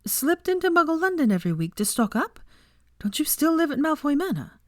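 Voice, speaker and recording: Storybook role: woman reader as sharp young woman, raised pitch, scolding and emphatic, dry close sound. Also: big pitch swings, fairly quick, dry recording. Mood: incredulous, teasing. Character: sharp, bossy, easily exasperated young woman.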